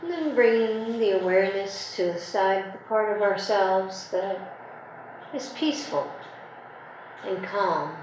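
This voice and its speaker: A woman leading a guided meditation, speaking gently and unhurriedly in short phrases with long pauses. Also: mid-range voice. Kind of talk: guided meditation, soothing slow instruction. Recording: very echoey room, faint background noise.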